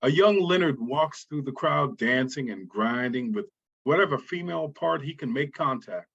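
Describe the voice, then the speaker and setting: Husky man reading stage directions. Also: mid-range voice, lively intonation, moderate pace, a bit bright, highs cut off. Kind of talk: scripted narration.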